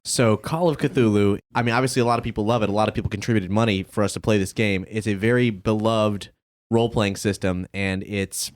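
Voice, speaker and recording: A man's voice: fairly low pitch, fairly even intonation, brisk. A man hosting a show, interviewing a guest in a conversational way. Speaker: host and player introducing the show.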